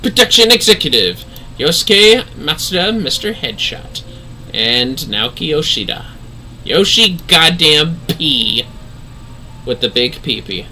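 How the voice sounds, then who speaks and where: A man's voice: a little high, sing-song, slow, bright, forceful tone, a little hiss or hum, poor audio. A man giving casual, sweary and jokey livestream commentary on a game, often exclaiming. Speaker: livestream host.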